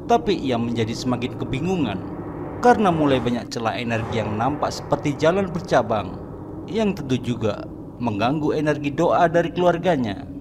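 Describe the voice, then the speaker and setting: A man's voice: medium pitch, animated, moderate pace, noisy background. A man narrating a horror cartoon in a scripted, dramatic storytelling manner. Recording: narration over background music.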